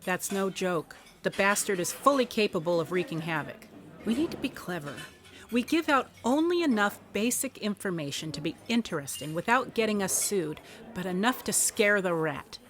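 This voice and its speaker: A woman's voice: mid-range voice, animated, moderate pace, fairly bright tone, lightly acted. An actress playing a shrewd, protective, commanding woman investigator in a contemporary radio drama, speaking firmly and directly. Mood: determined, scheming.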